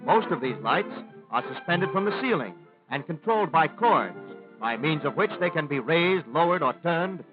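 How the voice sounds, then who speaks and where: An American man narrates in the formal announcer style of a 1930s newsreel. His voice sits fairly high and comes through a thin, tinny old film soundtrack. Music plays clearly behind his scripted, declarative delivery. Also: lively intonation, normal speed.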